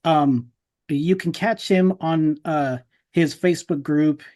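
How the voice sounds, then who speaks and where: A man hosts a podcast in a somewhat high-pitched voice. He speaks informally, in a loose, conversational interview style, addressing his listeners and his guest. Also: slow, darkish tone. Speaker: podcast host. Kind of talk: casual podcast interview chat.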